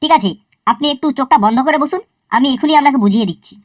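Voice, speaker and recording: A woman voicing a cheeky, foul-mouthed young schoolboy in a cartoon, talking in a quick, sassy and crude way. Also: high-pitched, close to an everyday voice. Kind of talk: cartoon voice acting.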